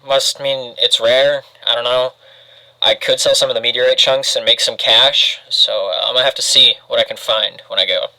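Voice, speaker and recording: Very bright, brash young guy, acted. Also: medium pitch, steady tone, moderate pace, rough recording. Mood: excited, casual. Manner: slangy, offhand. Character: brash, curious young man filming his discoveries. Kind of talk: casual vlog-style acting.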